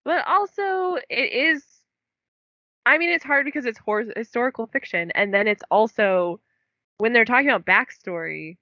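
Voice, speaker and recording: Chatty young woman, bright and dry. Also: on the high side, lively intonation, normal speed, no room sound. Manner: chatty, filler-heavy, laughs at herself. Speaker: book podcast co-host. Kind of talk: casual book discussion. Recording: dull dry lo-fi recording.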